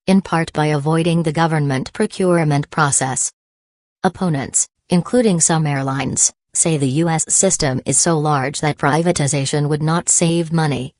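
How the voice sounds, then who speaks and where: A woman with a low voice reads a news story in a steady, even, scripted way. There are no fillers or slips. The sound is dry and close. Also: no room sound. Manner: steady, uniform read with no fillers. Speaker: news reader.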